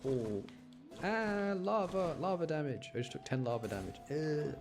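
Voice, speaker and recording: A man's voice: a little high, lively intonation, measured pace, creaky, music in the background. A man giving casual, enthusiastic gameplay commentary in a rambling, slangy way. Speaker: game streamer.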